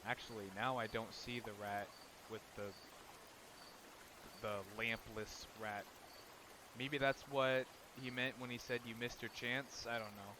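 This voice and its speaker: A man's voice: medium pitch, fairly even intonation, measured pace, background noise. A young man with a cold, sniffling as he talks casually over a game he plays, swearing often and reading bits of it aloud. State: sick and sniffly. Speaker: gaming youtuber. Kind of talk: casual let's-play commentary.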